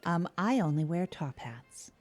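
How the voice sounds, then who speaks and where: A woman's voice: lowish voice, animated, a little slow, faint music behind. A woman co-hosting a comedy podcast, improvising in an earnest, rambling way. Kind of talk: improvised comic banter.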